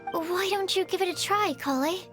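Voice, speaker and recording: A woman voicing a shy, kind young researcher in a video game, speaking in a gentle, earnest way. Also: very high-pitched, normal speed, faint music behind. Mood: encouraging.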